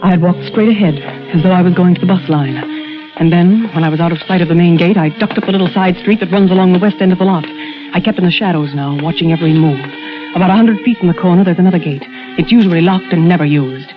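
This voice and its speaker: An actress narrating as a scheming young woman stand-in in an old radio drama, in a clipped, measured, suspenseful way. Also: fairly low pitch, close to an everyday voice. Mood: tense. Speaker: stand-in narrating her crime. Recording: dull old radio broadcast with music.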